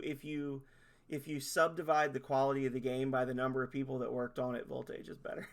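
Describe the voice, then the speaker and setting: A man, a streamer closing his stream in rambling, self-deprecating casual chat, his voice hoarse as he loses it. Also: medium pitch, fairly bright tone.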